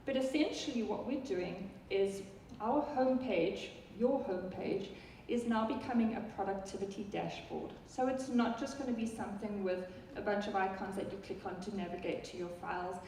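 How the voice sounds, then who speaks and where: Woman, South African accent, conference product presenter, chatty with ums, mellow tone, distant echoey hall recording. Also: mid-range voice, normal speed, hardly any pauses, a little hiss or hum. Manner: chatty, many ums and restarts, addresses the audience directly. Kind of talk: live conference product talk.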